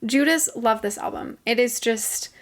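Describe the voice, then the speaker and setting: A woman in her twenties hosting a casual vlog, talking enthusiastically about music she loves, with her voice slightly hoarse from talking a long time. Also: a little high, unhurried. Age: 20s. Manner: gushing, enthusiastic. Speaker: channel host.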